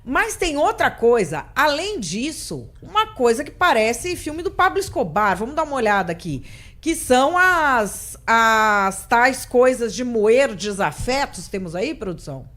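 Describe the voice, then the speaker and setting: A woman's voice: fairly high pitch, very animated, measured pace, fairly bright tone. A middle-aged Brazilian woman hosting a political interview, speaking bluntly and indignantly in a conversational tone. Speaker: interview host. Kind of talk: political talk show interview.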